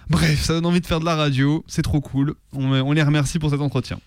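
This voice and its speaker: Clear, higher-voiced enthusiastic man on radio. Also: fairly even intonation, fairly quick, a little mellow, no echo, studio quality. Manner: enthusiastic, colloquial. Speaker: radio co-host. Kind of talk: casual radio chat. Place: radio studio.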